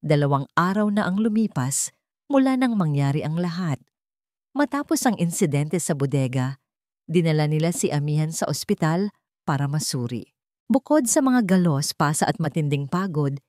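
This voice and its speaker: A woman's voice: low-pitched, sing-song, moderate pace, darkish tone, no room sound, clean studio sound. A woman narrating a scripted story in a dramatic, emotive and solemn storytelling manner.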